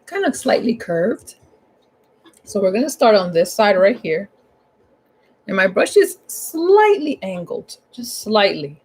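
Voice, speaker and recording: Hoarse woman teaching painting casually. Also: medium pitch. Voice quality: hoarse, husky. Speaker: painting instructor. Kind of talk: casual step-by-step art tutorial.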